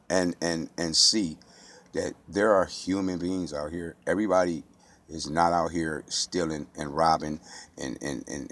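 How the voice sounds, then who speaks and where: An American man speaking off the cuff in an impassioned monologue, blunt and streetwise, with slang and heavy swearing. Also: extremely low pitch. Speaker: local resident speaking out.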